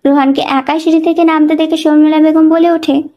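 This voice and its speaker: Female story narrator, high voice, scripted dramatic storytelling. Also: flat delivery, brisk, highs cut off.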